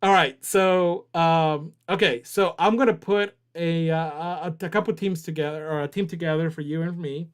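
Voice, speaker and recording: A man's voice: fairly high pitch, fairly even intonation, normal speed, hardly any pauses, clear, resonant tone. A man hosting a casual livestream, joking around and reading viewer chat aloud. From Southern US. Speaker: livestream host.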